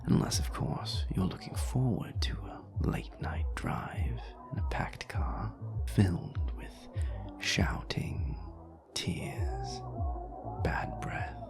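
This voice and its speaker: A performer playing a seductive, courtly vampire in an intimate romantic audio roleplay, whispering in a slow, suave, teasing way. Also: sits low, huge pitch swings, full character voice. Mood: teasing, coaxing. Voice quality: breathy, whispery. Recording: music behind the voice.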